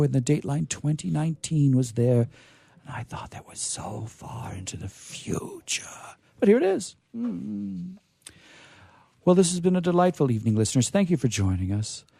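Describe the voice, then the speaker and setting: Theatrical male radio host, very lively pitch. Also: creaky, breathy edge, no room sound, clean studio sound. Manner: florid, playful, theatrical. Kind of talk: live show sign-off, theatrical hosting.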